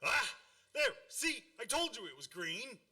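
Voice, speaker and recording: Blustery, high-pitched excitable dad. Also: male voice, unhurried, full character voice. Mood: flustered, stubborn. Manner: blustering, exclamatory, stammering when flustered. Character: blustery, impatient 1940s family dad. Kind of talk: radio play acting.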